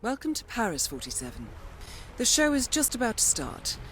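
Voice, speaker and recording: A woman's voice: a little high, sing-song, normal speed, a bit bright, slight background noise. An actress playing a poised female intelligence handler in a video game, briefing an assassin in a composed, articulate, coolly formal way. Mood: discreet. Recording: music behind the voice.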